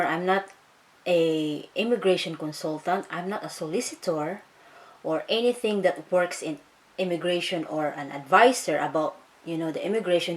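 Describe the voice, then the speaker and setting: A friendly-sounding woman talks viewers through an online process in casual vlog style. Her voice sits a little low for a woman, and she peppers her talk with okay and so. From Philippines. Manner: chatty, addresses viewers as guys, frequent okay and so. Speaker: vlogger.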